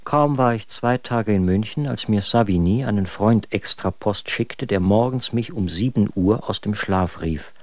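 A man's voice: lowish voice, a little slow, few pauses, darkish tone, no room sound, lightly acted. An actor playing an exasperated, mocking male letter writer, telling his story articulately and sardonically in a German radio drama. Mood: wry, harried.

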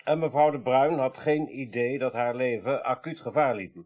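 An actor playing a courteous, observant investigator in a radio play, questioning politely in measured, formal phrases. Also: male voice, mid-range voice, darkish tone, natural voice. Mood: courteous, probing. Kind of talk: 1980s radio play acting.

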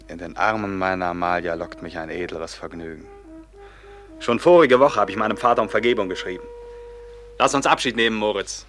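A man's voice: sits low, fairly bright tone. An actor playing a proud, brooding young nobleman who longs for home, earnest and lofty in a classical radio play.

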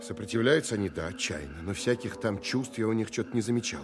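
Casting: male actor as sardonic counterintelligence officer, lowish voice, grumbling inner monologue for wartime radio play, prominent music underneath. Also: lively intonation, quick pace, close to an everyday voice. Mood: irritated, sardonic. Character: cynical, irritated wartime counterintelligence officer, male, thinking aloud. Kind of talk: Russian audio drama interior monologue.

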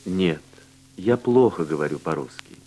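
A man reading language-course dialogues and word drills with slow, deliberate, carefully enunciated speech. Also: low-pitched, expressive, warm tone, soft background music, low-quality recording. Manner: clear, deliberate articulation in short answers.

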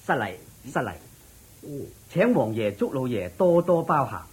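Meek, soft-spoken court musician. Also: male voice, mid-range voice, sing-song, measured pace, slightly rough, heavily acted. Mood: meek, polite. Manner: meek, polite, subdued. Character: humble, deferential male court musician asking for his due. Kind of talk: Cantonese radio drama acting.

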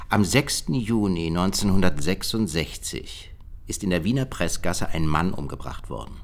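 An actor playing a matter-of-fact cold case detective in a radio drama, briefing a colleague in a measured way. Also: male voice, deep voice.

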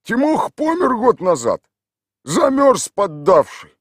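A man voicing a brash, crude village man who talks loud and bossy. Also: sits high, wildly swooping pitch, slow, husky, dry recording, clean studio sound, voice pushed up, heavily acted.

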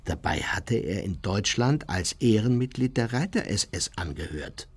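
Unrepentant war criminal, husky, lively intonation, measured formal memoir narration, radio play. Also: male voice, lowish voice. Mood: matter-of-fact. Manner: matter-of-fact, measured formal account.

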